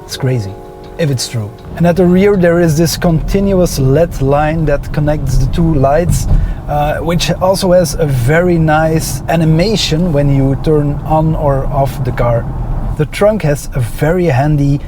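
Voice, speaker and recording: A man's voice: fairly high pitch, a little slow, noisy background. A man with a Dutch accent and Dutch as his first language, narrating an enthusiastic, opinionated car review with frequent "uh" fillers.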